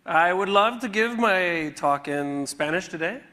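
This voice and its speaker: A man from the United States giving a conference talk in short chunks, pausing for an interpreter. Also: high-pitched, lively intonation, pushed and bright. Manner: speaks in short chunks and waits for the translation. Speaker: conference speaker. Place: conference hall stage.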